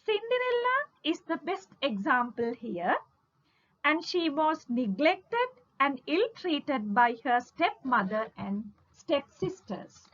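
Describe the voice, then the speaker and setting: A woman giving a scripted literature lesson as a lecturer, in a deliberate, emphatic, instructional manner, on a lo-fi recording. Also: high-pitched, sing-song, fairly bright tone. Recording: dull lo-fi audio.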